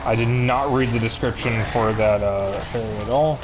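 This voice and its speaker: A man with a Chicago accent, streaming a video game and chatting casually with viewers in a relaxed, rambling way. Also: mid-range voice, normal speed, poor audio. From Chicago area, USA. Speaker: live streamer. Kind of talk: casual chat while playing a video game.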